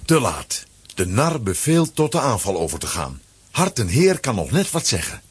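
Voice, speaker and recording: A man with a husky voice narrating a children's audio play as a dramatic scripted story. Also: on the low side, big pitch swings, normal speed.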